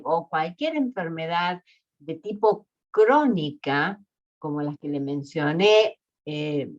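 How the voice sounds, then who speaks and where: A researcher from Argentina with an Argentine accent, giving a slow online lecture full of hesitations. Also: female voice, medium pitch, lo-fi sound. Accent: Argentine Spanish. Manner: slow and deliberate, with many hesitations.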